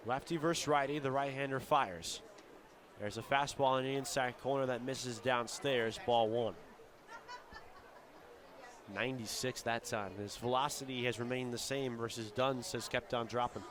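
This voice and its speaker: A man calling live baseball play-by-play for radio in a fluent, descriptive style that can build to shouts on big plays. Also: mid-range voice, steady tone, normal speed. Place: outdoor baseball stadium broadcast position. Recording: live ballpark with crowd noise behind. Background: crowd noise.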